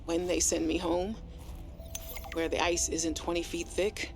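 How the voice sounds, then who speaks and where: A woman playing an earnest, anxious but quietly defiant scientist in a video game audio log, hesitant and confiding. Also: on the low side, level tone, unhurried, faint music behind. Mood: nervous, resolute.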